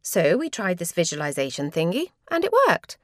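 A woman's voice: on the low side, sing-song, normal speed, dry recording, studio quality. A woman voicing a breezy, pushy sister who gushes encouragement in storybook dialogue. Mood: chatty, defensive. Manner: emphatic, gushing encouragement. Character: Louise, breezy, pushy sister preaching positive thinking.

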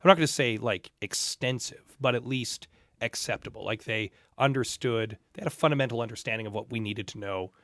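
A man in a casual podcast conversation, telling personal anecdotes in a measured, thoughtful, hedging way. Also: medium pitch, a bit bright, dry recording, clean studio sound.

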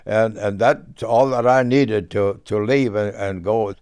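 A man of about a hundred from rural Minnesota, who grew up speaking Norwegian, telling old memories in a halting, folksy way. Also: lowish voice, darkish tone, smooth, dry recording, studio quality. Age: about 100. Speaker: interviewee, auctioneer. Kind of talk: recorded reminiscence.

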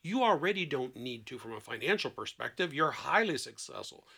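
A man speaking conversationally as a therapist on a podcast panel, blunt and emphatic, with deliberate pauses and rhetorical questions. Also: mid-range voice, big pitch swings, gravelly, pushed and bright, slightly rough. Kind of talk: conversational podcast talk with acted-out client dialogue.

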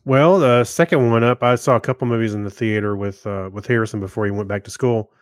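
A man co-hosting a podcast, giving casual reviews in a halting way as he searches for his words. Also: fairly low pitch, fairly even intonation, dry recording, clean studio sound. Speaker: podcast co-host. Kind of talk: casual film review chat.

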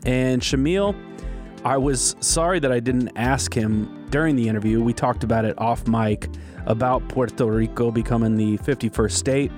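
A man's voice: medium pitch, moderate pace, a little mellow. Upbeat male podcast host, casual and chatty, medium voice, light music under him. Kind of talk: casual podcast intro and interview.

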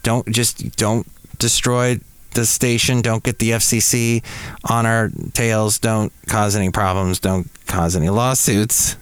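A man's voice: lowish voice, fairly even intonation, unhurried, clear, resonant tone, dry recording. A middle-aged man hosting a podcast, casually reminiscing over old radio tapes with playful, exclamatory asides. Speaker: podcast host and former radio DJ.

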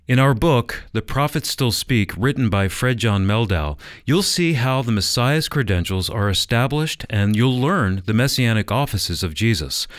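Low-voiced American male radio announcer. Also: no room sound, clean studio sound. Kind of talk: scripted promotional narration. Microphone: close studio microphone.

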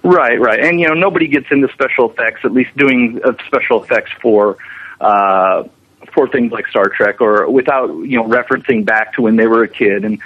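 A man's voice: mid-range voice, moderate pace, husky. A man being interviewed as a special effects animator, explaining his work conversationally with frequent restarts. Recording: narrow, tinny phone-like line.

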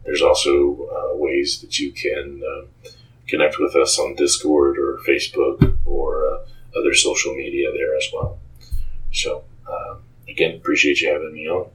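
A man talking as an interview guest about his creative work, in a halting, hesitant manner. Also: very deep voice, huge pitch swings, a bit bright, distant mic, low-quality recording. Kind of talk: interview talk.

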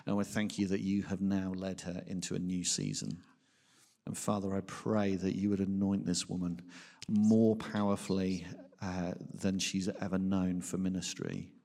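A man's voice: deep voice, moderate pace, creaky, warm tone. A man hosting at a church, speaking informally to a guest and to the congregation. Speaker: church host interviewing guest and leading prayer. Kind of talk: informal live church interview and spoken prayer. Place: large church hall, live event. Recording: echoey hall with long reverb.

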